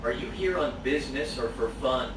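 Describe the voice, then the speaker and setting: A man playing a friendly male university student in a scripted English dialogue video, with even, textbook phrasing. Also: mid-range voice, normal speed, natural voice. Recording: roomy, distant, noisy playback.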